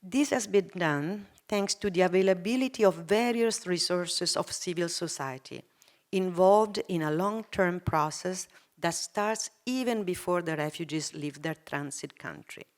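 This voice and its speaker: Measured woman giving a formal talk. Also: fairly low pitch. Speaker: panel speaker. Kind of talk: prepared conference talk.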